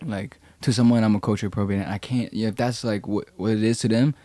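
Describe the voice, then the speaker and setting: A man's voice: fairly low pitch, steady tone, fast talker, warm tone, dry recording. A young man, a rapper guest talking in a laid-back, slangy way on a podcast. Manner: laid-back, slangy, quotes people in raised voices. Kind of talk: casual podcast conversation.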